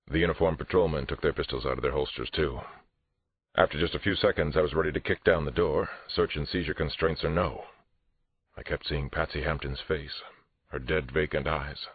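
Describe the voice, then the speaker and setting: A man's voice: fairly even intonation, normal speed, full character voice. A man reading a first-person audiobook thriller as a police detective, tense, restrained and dramatic. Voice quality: very deep, husky. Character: male police detective narrator, angry but controlled. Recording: roomy, muffled phone-like audio.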